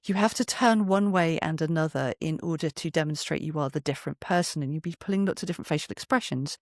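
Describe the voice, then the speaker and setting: A podcast guest with a medium-pitched voice talks through their craft in conversation. They explain discursively, breaking off for asides and picking the thread back up. The recording is very dry, with hardly any room sound. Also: quick pace, no echo. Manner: discursive, self-interrupting asides. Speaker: podcast guest, storyteller and voice performer. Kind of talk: conversational interview, explaining from experience.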